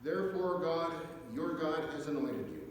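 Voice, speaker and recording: A man reading scripture aloud at a church service, with measured, deliberate phrasing and pauses. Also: fairly high pitch. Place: inside a church, reverberant. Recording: echoey church hall, distant mic, faint noise.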